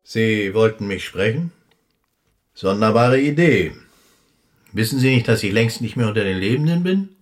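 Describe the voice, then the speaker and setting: An actor playing a long-dead German general in a radio play, brusque, wry and reserved, with a curt, dry way of talking. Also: male voice, fairly low pitch, expressive, normal speed, long pauses, lightly acted. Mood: wry surprise.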